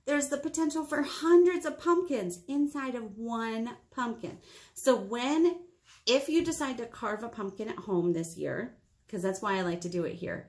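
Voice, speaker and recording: A woman with a slightly high-pitched voice talks to small children as a storytime host. She is animated and encouraging, posing simple questions and leaving room for answers. She is recorded indoors in a small room, at about arm's length from the camera. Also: vocal fry. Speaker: children's librarian hosting storytime. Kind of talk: children's storytime talk addressed to young viewers.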